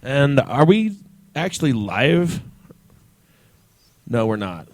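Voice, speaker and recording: A man's voice: mid-range voice, big pitch swings, takes it slowly, dry recording. A man hosting a casual, unscripted radio talk show.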